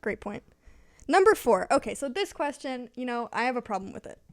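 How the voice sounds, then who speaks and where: A woman's voice: a little high, big pitch swings, brisk, no echo, clean studio sound. A woman hosting a casual, conversational book chat in an agreeable manner. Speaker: host.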